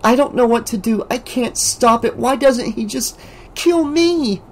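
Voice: mid-range voice, animated, slow, a little mellow, voice pushed up. A reader voicing a frightened teenage girl in an audiobook, tremulous and pleading. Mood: desperate. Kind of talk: audiobook character voice.

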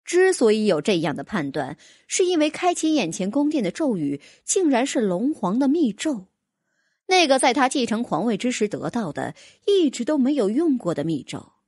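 A woman's voice: fairly high pitch, big pitch swings, moderate pace, darkish tone, slightly rough, dry recording, clean studio sound. A woman narrating a fantasy audiobook, reading a scripted story in a dramatic storytelling style.

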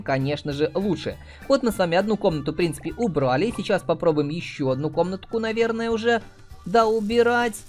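A man with a high voice gives casual, chatty commentary as he plays mobile games. He talks straight to his viewers as their host. Light music plays behind his voice. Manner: chatty, uses cute diminutives. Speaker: host of mobile game playthroughs.

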